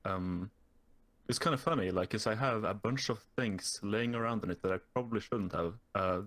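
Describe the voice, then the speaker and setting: Low-voiced young Norwegian man. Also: moderate pace, a bit bright. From Norway. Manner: hesitant, many fillers and restarts. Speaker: guest YouTuber. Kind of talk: casual interview chat.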